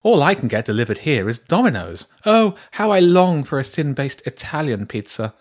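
A man's voice: a little high, very animated, normal speed, pitched above the performer's own voice. A man voicing a haughty, eccentric female badger priestess in a children's audiobook, theatrical and exclamatory in manner. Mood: peevish, hungry.

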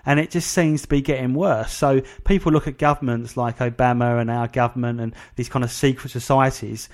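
A man with a medium-pitched voice hosts a conversational interview. He speaks informally and a little tentatively, working through his thoughts as he goes. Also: steady tone, fairly quick. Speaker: interviewer, show host.

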